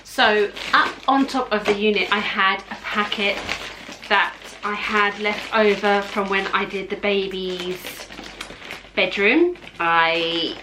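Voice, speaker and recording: A woman speaks in a casual, chatty vlogging style, explaining things informally as she shows them around her home. Her pitch is average for a woman. There is some faint noise behind her voice. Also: steady tone, slow pace. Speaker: parenting vlogger. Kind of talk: casual home tour narration. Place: indoors at home, in a playroom.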